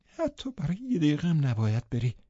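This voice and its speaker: A man's voice: fairly high pitch, wildly swooping pitch, warm tone, no echo, dull audio, pitched above the performer's own voice. A man voicing a desperate young woman in an audiobook, breathless, pleading and insistent. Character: desperate, shrieking young woman clinging to her lover.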